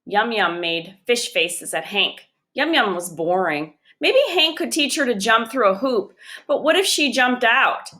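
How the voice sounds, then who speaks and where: Bright, lively woman reading a children's story. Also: a little high. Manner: expressive, deliberate delivery. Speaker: storybook reader. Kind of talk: read-aloud narration of a children's chapter book.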